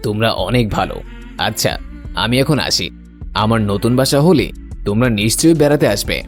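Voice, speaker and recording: A man's voice: mid-range voice, expressive, fairly quick, faint music behind, natural voice. A man voicing a needy, idle male crow in a cartoon, speaking in a plaintive, pleading way. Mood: humble, grateful. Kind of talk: scripted cartoon dialogue.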